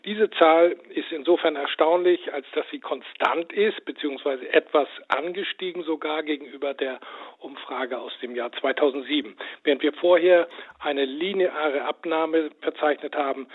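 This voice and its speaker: Man speaking over a tinny phone line. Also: a little high. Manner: measured, lecturing, frequent "ähm" fillers. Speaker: language institute director, phone guest. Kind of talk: explanatory radio interview answers. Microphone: telephone.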